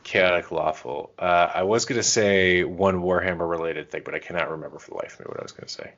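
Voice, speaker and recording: A man's voice: low-pitched, fairly even intonation, quick pace, fairly bright tone, highs cut off. A man co-hosting a podcast in casual chat, joking and self-deprecating. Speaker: podcast co-host.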